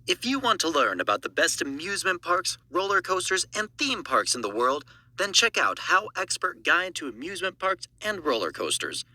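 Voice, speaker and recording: A man's voice: sits high, sing-song, normal speed, hardly any pauses, pushed and bright, dry recording. A man reading scripted promotional audiobook narration as an audition.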